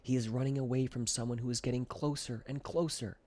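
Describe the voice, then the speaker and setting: A man's voice: medium pitch, steady tone, brisk, a little mellow. A man narrating a scripted children's audiobook as its storyteller.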